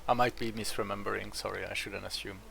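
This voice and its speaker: Gravelly French man with hesitant chat. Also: on the low side, level tone, fast, music in the background. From France. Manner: rambling, full of hesitations and restarts. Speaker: strategy game streamer. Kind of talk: casual chat while playing.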